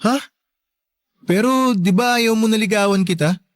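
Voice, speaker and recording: A man's voice: high-pitched, moderate pace, dry recording, clean studio sound, natural voice. A man playing a meek, polite young working son in a radio drama, shy and submissive in manner. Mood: shy, surprised. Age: young adult. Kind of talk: Filipino radio drama acting.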